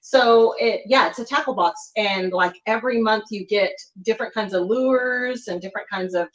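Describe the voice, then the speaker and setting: A woman's voice: fairly high pitch, moderate pace, fairly bright tone. A woman co-hosting a casual home livestream, laughing often as she reads viewer comments aloud. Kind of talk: casual chat. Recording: echoey room, slightly dull sound.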